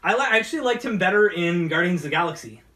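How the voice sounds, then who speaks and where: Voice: medium pitch, expressive, rapid-fire, bright, forceful tone. A talk show host chatting casually in a rambling, hesitant way. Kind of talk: casual chat. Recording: distant mic.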